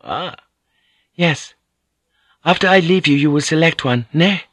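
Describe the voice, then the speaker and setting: A man voicing a courteous, gentle Japanese noblewoman in an audiobook, speaking softly and coaxingly. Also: on the high side, a little slow, no room sound, studio quality, pitched above the performer's own voice. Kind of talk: audiobook character voice.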